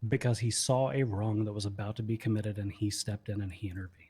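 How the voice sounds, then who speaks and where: A man playing a grieving, stern police commander, giving a solemn, measured speech to a court in improvised roleplay. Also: fairly low pitch, fairly even intonation, warm tone, husky. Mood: grave, regretful.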